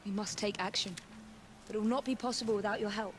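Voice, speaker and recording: Poised young heroine played by an actress. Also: female voice, medium pitch, steady tone, quick pace, slight background noise, natural voice. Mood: resolute. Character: poised, determined young female adventurer. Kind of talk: dramatic game cutscene acting.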